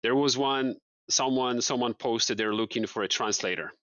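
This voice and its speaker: A man in his thirties from Poland, a guest in a casual interview, relaxed and repeating his words with light laughs. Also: mid-range voice, normal speed, no echo, highs cut off. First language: Polish.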